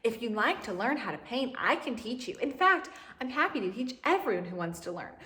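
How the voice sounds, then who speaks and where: A woman's voice: on the high side, very animated, a little fast, pushed and bright, reverberant. A woman voicing a kind, earnest young princess in a children's storybook, speaking expressively. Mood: eager.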